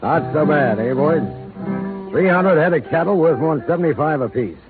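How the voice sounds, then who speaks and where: An actor playing a gloating, bossy cattle rustler leader in a 1930s radio drama, tinny old broadcast sound. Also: male voice, a little high, expressive, normal speed, music in the background, natural voice.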